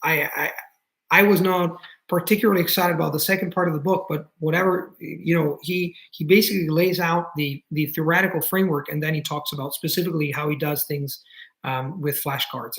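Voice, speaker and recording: A man's voice: a little high, a bit bright. A man in his thirties with an Italian accent, answering viewer questions in a casual live stream, with many restarts and fillers. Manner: frequent restarts and fillers, thinking aloud; enthusiastic, explanatory.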